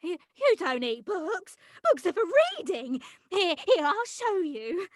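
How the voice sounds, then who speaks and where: A high-voiced, bookish earthworm from a preschool cartoon, played by a woman. She is eager and expressive, swinging widely in pitch and taking her time over the words. The sound is dry and close. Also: no echo, heavily acted. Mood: excited. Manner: exclaiming, expressive, drawn-out delivery. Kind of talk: animated cartoon table read.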